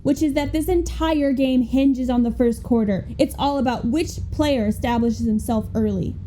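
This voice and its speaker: A woman's voice: high-pitched, moderate pace, mellow, slight background noise, close to an everyday voice. A young woman playing an earnest, emphatic sports color commentator in a comic radio play. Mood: earnest analysis. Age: young adult. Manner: earnest, emphatic analyst delivery. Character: female sports color commentator, earnest, keen, gravely serious.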